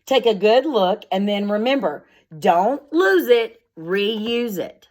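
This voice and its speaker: A woman's voice: mid-range voice, expressive, slow, darkish tone. Woman teacher reading aloud to children. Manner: instructive, simply worded, addressing children directly. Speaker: schoolteacher. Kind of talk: read-aloud with explanations for young children.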